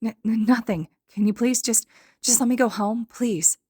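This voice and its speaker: A woman's voice: on the high side, moderate pace, a little mellow, breathy edge, voice pushed up. A woman voicing a timid, anxious, bullied schoolboy with a hesitant stammer in an audiobook-style story. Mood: pleading, frightened.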